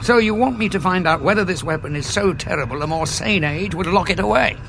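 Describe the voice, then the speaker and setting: Gravelly, sardonic old prisoner. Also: male voice, high voice, fairly quick, fairly bright tone, slight background noise. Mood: sardonic, wary. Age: older. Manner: sardonic, indignant, theatrical. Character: angry, sardonic old male time-travelling war veteran held prisoner. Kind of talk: audio drama acting.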